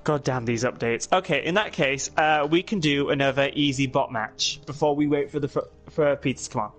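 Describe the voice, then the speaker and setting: A man's voice: mid-range voice, fairly quick, faint music behind. A young man chatting casually while gaming, explaining moves and swearing mildly. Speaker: co-player.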